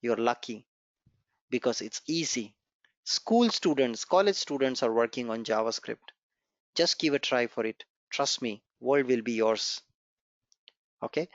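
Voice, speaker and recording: A man's voice: mid-range voice, a little slow, long pauses, dry recording. A man whose first language is Gujarati teaches a live online coding class, encouraging his students and repeating the key points as he walks through the code step by step. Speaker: programming instructor. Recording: dry, dull lo-fi online class recording.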